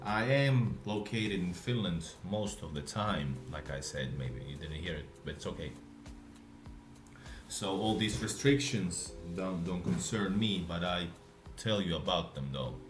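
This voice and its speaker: A man with a Russian accent, live streaming and chatting casually with viewers, speaking in a deliberately lazy, relaxed way. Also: low voice, echoey room, over music. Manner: intentionally lazy, relaxed way of talking.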